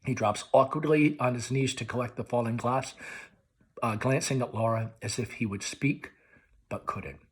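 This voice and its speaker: A man with a slightly husky voice reading stage directions aloud as host of a read-along, in an expressive narrating style. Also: medium pitch, moderate pace.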